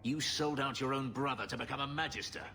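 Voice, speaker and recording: A man's voice: medium pitch, fairly quick, creaky, bright, forceful tone. An actor playing a brooding, guarded male elf who escaped slavery, intense and terse, in a video game. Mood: angry, bitter. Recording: faint background noise.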